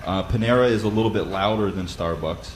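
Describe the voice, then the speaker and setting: A man with a loud voice who leads a Bible study, explaining practical advice in a conversational way with frequent fillers and restarts. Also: sits low, brisk, a little hiss or hum. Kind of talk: instructional talk.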